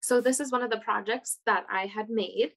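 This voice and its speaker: A woman interpreter voicing a panelist's words in steady, plain first-person narration on a video call. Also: on the high side, normal speed, creaky, rough recording.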